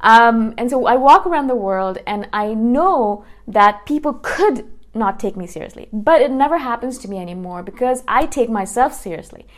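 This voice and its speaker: Earnest woman in her thirties, fairly high voice. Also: lively intonation, moderate pace. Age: 30s. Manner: earnest, repeats words and restarts often, asks "right?". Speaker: YouTuber. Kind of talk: casual monologue to viewers.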